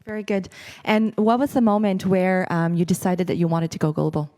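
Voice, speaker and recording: A woman's voice: on the low side, steady tone, mellow. Woman moderating a conference panel. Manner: brisk, friendly questioning. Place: conference stage.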